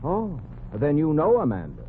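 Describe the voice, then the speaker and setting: A languid, sardonic rich gentleman. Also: fairly high pitch, big pitch swings, normal speed. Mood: rueful. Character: suave weary rich man, dryly sardonic. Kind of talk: 1940s radio comedy acting. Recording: tinny, hissy old radio broadcast.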